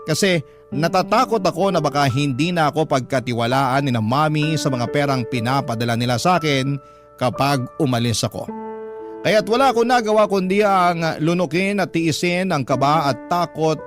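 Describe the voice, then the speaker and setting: A man with a Filipino accent giving dramatic, scripted first-person narration for a radio drama. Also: fairly high pitch. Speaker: radio drama narrator reading a letter sender's story. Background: dramatic background music.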